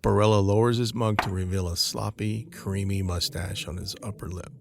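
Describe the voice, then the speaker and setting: A man's voice: fairly low pitch, steady tone, moderate pace, gravelly, background noise. A man giving scripted narration for an audio drama, setting each scene as the narrator.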